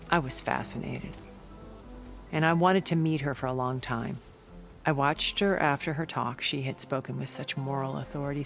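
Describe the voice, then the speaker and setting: Gravelly calm schemer guy, measured and cool, soft music behind. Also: on the high side. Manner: measured, composed storytelling. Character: secretive wealthy male schemer, measured and calm. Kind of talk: video game cutscene acting.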